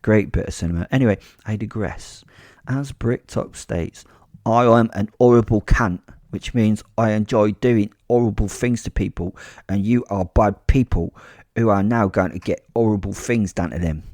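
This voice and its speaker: Man performing a sadistic story vigilante, mocking, pompous, gloating menace, dark timbre. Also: fairly low pitch, moderate pace, no echo, studio quality. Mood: smug menace.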